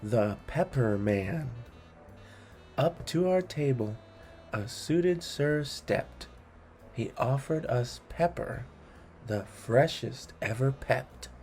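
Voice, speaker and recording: A man hosts a read-along of comic rhymes for children. His voice is soft-edged and relaxed, and he takes his time with a theatrical storyteller's delivery. Quiet music plays underneath. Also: mid-range voice, takes it slowly. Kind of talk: expressive reading of funny children's rhymes.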